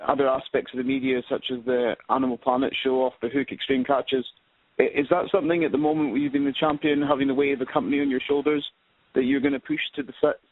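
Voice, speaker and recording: Fast, even-toned man on the phone. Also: medium pitch. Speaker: reporter asking a question. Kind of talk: polite press question. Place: phone conference call. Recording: tinny phone line.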